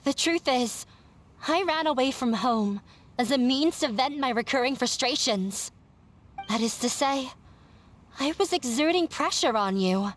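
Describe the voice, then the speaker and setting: A determined young woman in a video game, speaking slowly with a high voice. Each word is measured and firm. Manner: measured and resolute. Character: young woman standing up to her family, resolute.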